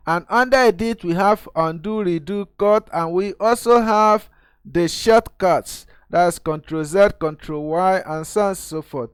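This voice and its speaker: A man's voice: sits high, smooth, no room sound. A man from Nigeria, host of his own channel, explaining a video editor step by step in a screen-recorded tutorial and calling viewers guys.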